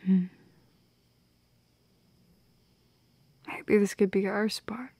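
A woman playing a shy, teasing goth girl in an intimate ASMR romance roleplay, speaking softly and breathily, often near a whisper. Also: medium pitch, steady tone, no echo, lightly acted. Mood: dreamy, hushed. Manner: soft, breathy, often whispering, giggly, lingering pauses. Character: shy, teasing goth girl confessing love, affectionate and playful.

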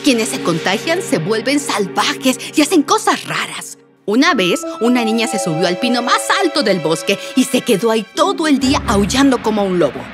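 A woman's voice: fairly high pitch, big pitch swings, normal speed. A woman playing an alarmist, earnest grown-up lady, dramatic and fretful, in a Latin American Spanish cartoon dub. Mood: alarmed storytelling. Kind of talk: dubbed children's cartoon acting. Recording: loud music throughout.

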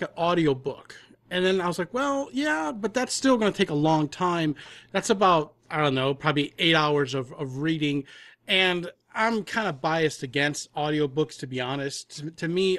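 A man's voice: on the high side, a bit bright, no room sound. A man chatting casually as an interview guest, an audio drama producer recounting how things came about. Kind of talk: casual anecdotal interview chat.

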